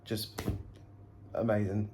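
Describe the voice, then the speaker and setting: Slow-talking British man vlogging. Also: lowish voice, flat delivery, a little mellow, slight background noise. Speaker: travel vlogger. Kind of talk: casual recap to camera.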